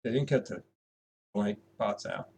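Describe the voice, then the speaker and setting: A man's voice: lowish voice, normal speed, a little mellow, breathy edge. A young man co-hosting a casual chat, dropping short dry teasing remarks. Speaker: co-host.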